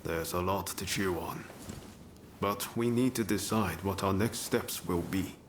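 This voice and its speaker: A man's voice: low voice, a little slow, a little hiss or hum. A man playing a thoughtful, loyal ronin in a video game, plain-spoken and reflective. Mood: pensive. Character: thoughtful, loyal ronin weighing choices with a comrade. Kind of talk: natural video game cutscene acting.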